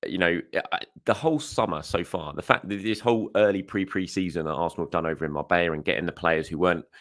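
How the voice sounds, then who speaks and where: A young adult man who hosts a football news show, talking in a casual, chatty way. He is hoarse and often uses fillers and corrects himself as he goes. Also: low-pitched, fast talker, fairly bright tone, dry recording, studio quality. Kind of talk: casual solo commentary.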